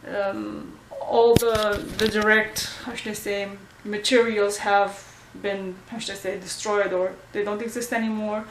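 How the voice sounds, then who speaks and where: A young woman with a Romanian accent, a native Romanian speaker, chatting casually in a home vlog and often pausing to search for words. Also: mid-range voice, level tone, a little hiss or hum, low-quality recording. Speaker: vlogger.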